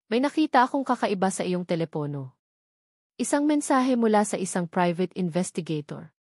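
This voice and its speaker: A woman's voice: medium pitch, very animated, moderate pace, darkish tone, dry recording, studio quality. A woman with a Filipino accent voicing a suspicious, angry wealthy wife with a demanding manner in a narrated drama.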